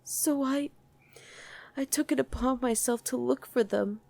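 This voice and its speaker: A woman voicing a guarded demon who was once a human mother, in an intimate ASMR roleplay with halting, emotional delivery. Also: sits high, gravelly, darkish tone, dry recording, full character voice. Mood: anguished. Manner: halting, emotional, speaks directly to one listener.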